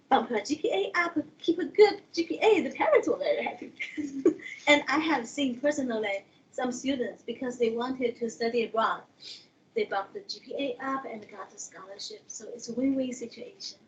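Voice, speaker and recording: A woman coordinator talks students through study-abroad slides in friendly, slightly non-native English, Chinese being her first language. Her voice sits a little high. The audio is dull, as over a video call, with a faint hiss of room noise underneath. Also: animated, fairly quick. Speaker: international education coordinator. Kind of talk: presenting slides, conversational.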